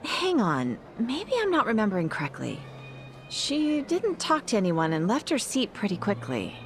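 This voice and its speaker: A woman's voice: mid-range voice, huge pitch swings, moderate pace, music in the background. An actress playing a chatty, gushing woman at an event in a game dub. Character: chatty female event attendee, starstruck fan.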